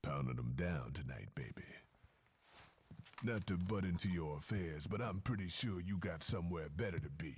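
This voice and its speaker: A cool gruff american weapons dealer in a video game, super deep voice, slangy and knowing. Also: male voice, lively intonation, normal speed, slight background noise. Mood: dry, annoyed. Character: gruff streetwise bartender and weapon maker, male, cool and sardonic. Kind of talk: video game cutscene acting.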